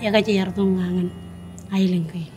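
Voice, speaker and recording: A woman's voice: lowish voice, fairly even intonation, unhurried. A young adult woman from the Marshall Islands, a ministry leader, speaking in a documentary interview. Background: music behind the voice.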